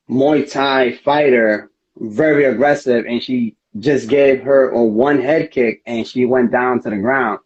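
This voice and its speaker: A man's voice: medium pitch, a little mellow. A man speaking casually as a fighter being interviewed, telling things in an earnest, rambling way. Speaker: MMA fighter, interview guest. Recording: dull lo-fi audio.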